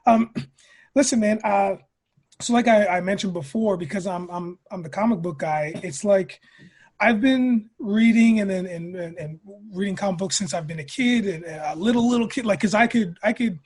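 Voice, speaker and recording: A man's voice: sits high, moderate pace, a little mellow, slightly rough. A man chatting casually and enthusiastically as a guest on a podcast. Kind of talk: casual chat.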